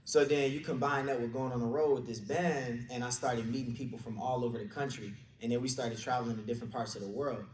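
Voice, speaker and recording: A man's voice: mid-range voice, steady tone, fast talker, hardly any pauses. A man with a Detroit accent up front in a roomy conference hall, sharing anecdotes informally, caught by a distant mic. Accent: American, Detroit. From Detroit, USA. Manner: colloquial, anecdotal. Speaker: conference speaker, real estate agent. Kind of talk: informal motivational storytelling talk to an audience. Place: indoor conference room, speaking on a stage. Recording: echoey room, distant mic, background noise.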